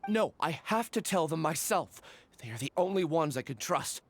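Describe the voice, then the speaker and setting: A man voicing a shaken, frightened young male researcher in a video game, talking urgently and haltingly, over game music. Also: a little high, sing-song. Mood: desperate. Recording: game audio under music.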